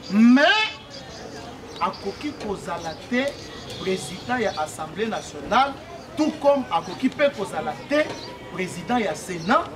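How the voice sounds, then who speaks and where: High-voiced Congolese man addressing a crowd. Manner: explanatory, repeatedly checks the crowd understands, leads call-and-response chants. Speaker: speaker at a political meeting. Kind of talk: public address explaining law to a crowd. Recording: distant mic, crowd heard behind. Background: crowd responding.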